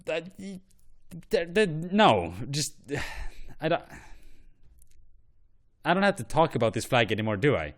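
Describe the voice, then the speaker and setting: A man's voice: a little high, very animated, a little slow, long pauses. A man hosting an online show, giving blunt, profane and rambling opinions. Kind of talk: ranting commentary on flags.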